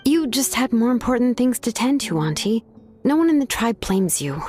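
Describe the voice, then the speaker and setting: An actress playing a young woman smith in a game, easygoing and teasing, with soft game music behind her. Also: on the high side, normal speed. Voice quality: relaxed, casual, teasing. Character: young woman blacksmith, easygoing and laid-back. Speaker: name engraver of the tribe. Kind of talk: game dialogue.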